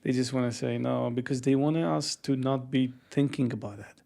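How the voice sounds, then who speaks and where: A man in a casual podcast conversation who speaks haltingly, often restarting his sentences. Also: medium pitch, fairly even intonation, creaky, dark tone.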